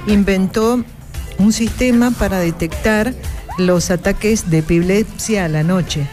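A woman's voice: medium pitch, a little slow, darkish tone. A woman hosting a casual radio chat, chatty and often asking for agreement. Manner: chatty, leading, asks for agreement. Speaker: radio host. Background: music.

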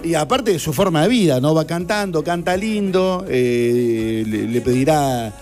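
A chatty, animated man, hosting a casual studio talk show. His voice sits a little high for a man. Soft music plays faintly under the talk. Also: a little slow. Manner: chatty, animated, reads out viewer messages. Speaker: show host.

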